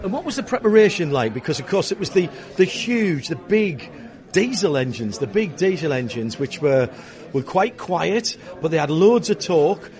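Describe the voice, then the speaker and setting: A man's voice: on the high side, big pitch swings, normal speed, hardly any pauses, darkish tone. A man interviewing a guest in casual chat, engaged and jumping in with questions.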